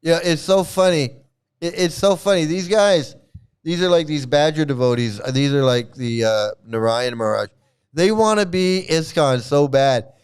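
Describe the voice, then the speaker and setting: A man's voice: fairly high pitch, normal speed, smooth. A man ranting sarcastically as a commentator over video clips, often laughing and repeating his jabs. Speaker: YouTube commentator.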